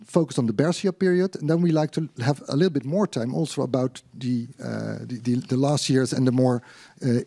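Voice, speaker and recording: Mellow-voiced male moderator keeping things on track. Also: on the high side, creaky. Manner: brisk, steering the discussion. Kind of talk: moderating a panel discussion. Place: public debate hall.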